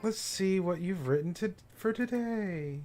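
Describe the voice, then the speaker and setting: A man's voice: high-pitched, animated, a little slow, darkish tone. A man livestreaming a visual novel, casually commenting and reading the game text aloud, with game music behind him.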